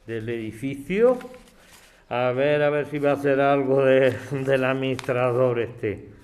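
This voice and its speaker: A man's voice: medium pitch, slow pace, darkish tone, close to an everyday voice. An actor with an accent from Spain playing a grumpy, distrustful older man in an audio drama, curt and bossy. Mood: suspicious, scornful. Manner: curt, grumbling, commanding. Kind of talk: acted audio drama dialogue.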